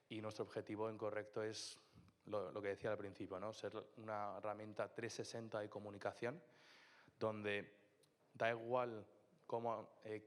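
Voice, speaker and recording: Low-voiced Spaniard presenting in an echoing hall. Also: male voice. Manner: steady, explanatory, frequent "¿no?" tags. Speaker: startup business speaker. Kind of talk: conference presentation. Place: conference hall. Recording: reverberant hall.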